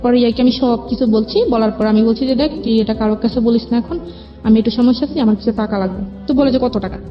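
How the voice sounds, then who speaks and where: A woman's voice: fairly high pitch, quick pace. A young woman with a Bangladeshi accent tells her life story as an interview guest, in colloquial speech with reported dialogue. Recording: dull lo-fi sound with music underneath. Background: background music.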